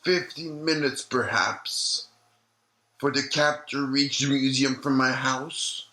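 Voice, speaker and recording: An actor playing an elderly doctor recalling a grim memory in slow, deliberate testimony for an audio-log drama. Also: male voice, medium pitch, steady tone, sharp tone, low-quality recording. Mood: somber recollection. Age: older. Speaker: retired obstetrician being interviewed.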